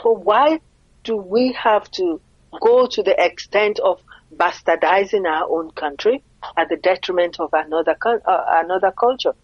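A woman's voice: lowish voice, normal speed, slightly rough, no echo. Middle-aged nigerian woman talking in an interview, emphatic, lo-fi dry sound. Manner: earnest, emphatic, asks rhetorical questions. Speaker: interview guest. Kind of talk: conversational interview.

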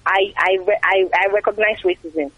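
A woman's voice: medium pitch, lively intonation, normal speed, gravelly, muffled recording. A woman from Nigeria, a guest in an interview, talking casually and chattily with frequent fillers and repeated words.

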